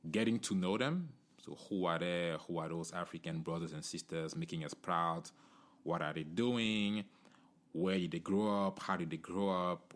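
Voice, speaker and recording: A middle-aged man from Cameroon, hosting a solo podcast in an earnest, conversational way, often tagging "you know" and "right?". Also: low voice. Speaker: podcast host.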